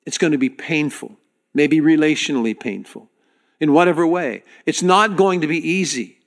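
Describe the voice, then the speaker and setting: A man preaching to his congregation as their pastor, speaking earnestly and directly with halting restarts. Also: a little high. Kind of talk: sermon.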